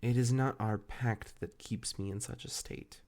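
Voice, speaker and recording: A man playing a devoted, ancient fey familiar spirit in an intimate roleplay audio, speaking formally and tenderly with measured, archaic diction. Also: mid-range voice, steady tone, darkish tone, lightly acted. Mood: earnest, devoted.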